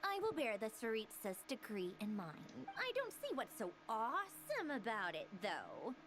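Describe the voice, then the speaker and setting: A woman's voice: fairly high pitch, wildly swooping pitch, moderate pace, music in the background. A woman voicing a haughty, cool young researcher in a video game, composed and disdainful. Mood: scornful.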